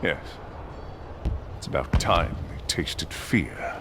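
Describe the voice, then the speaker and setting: An actor playing a resolute middle-aged general in a video game, gravelly and weighty in his commands. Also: rumbling low, lively intonation, slow pace, heavily acted. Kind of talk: dramatic video game acting. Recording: noisy background.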